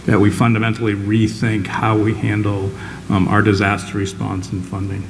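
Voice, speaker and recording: A man serving as a dean on a panel, speaking earnestly and haltingly into a panel microphone at a public event. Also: on the low side, completely flat delivery, noisy background. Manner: earnest, halting, with many restarts. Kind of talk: panel discussion answer.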